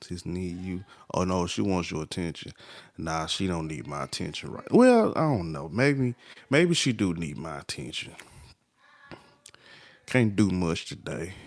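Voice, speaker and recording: A man's voice: deep voice, no room sound. A man hosting a late-night livestream, chatting casually with viewers by name and trailing off mid-thought. He sounds a little stuffy in the nose. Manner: laid-back, talks to viewers by name, trails off. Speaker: livestream host.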